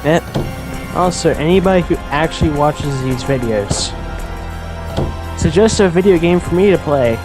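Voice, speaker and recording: A man's voice: on the high side, lively intonation, moderate pace, pauses often. A man casually bantering as a gameplay co-host, with playful, goofy interjections. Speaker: let's play co-host. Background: game music.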